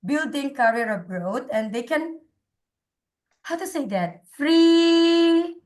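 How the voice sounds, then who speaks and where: A woman with an Indonesian accent giving her opinion in an online discussion, haltingly, searching for words and repeating phrases. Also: high voice, animated, a little mellow. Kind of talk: informal spoken opinion in an online group. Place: online call.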